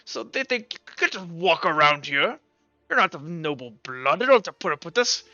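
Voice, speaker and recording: Man playing haughty scolding head librarian, high bright voice. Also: very animated, normal speed, no room sound, lo-fi sound, soft background music, heavily acted. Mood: angry, bossy. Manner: officious, scolding. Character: haughty, indignant male head librarian of a noble institution.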